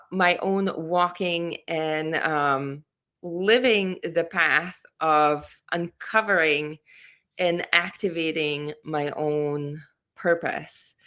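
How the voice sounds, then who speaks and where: A woman from Latvia, a career mentor, giving earnest, measured answers with a spiritual bent in an interview. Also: deep voice, bright, forceful tone, clean tone.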